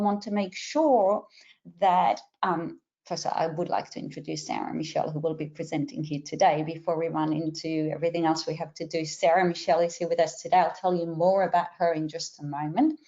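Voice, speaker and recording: A middle-aged woman with a mixed Israeli, Australian and American accent, hosting a webinar and giving clear step-by-step instructions. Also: fairly low pitch, darkish tone, muffled recording. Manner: clear and instructive, with some filler pauses. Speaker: webinar host and career coach. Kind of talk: webinar introduction.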